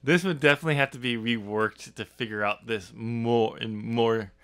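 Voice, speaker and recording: A man's voice: mid-range voice, steady tone, normal speed, a bit bright, smooth. A man hosting a podcast, casually talking through a story with informal, chuckling banter. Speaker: podcast host and author. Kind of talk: casual book discussion.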